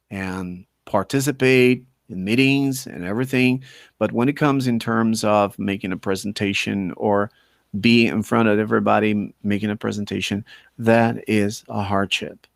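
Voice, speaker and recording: Low, creaky-voiced Brazilian man teaching. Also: no room sound, clean studio sound. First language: Portuguese. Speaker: English teacher. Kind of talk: informal talk to language learners.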